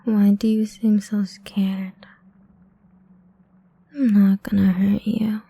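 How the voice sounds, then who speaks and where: A woman playing a seductive, domineering ancient vampire queen in an intimate ASMR roleplay, mostly in a breathy, husky whisper, slow, teasing and commanding. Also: medium pitch, little pitch movement, warm tone, heavily acted.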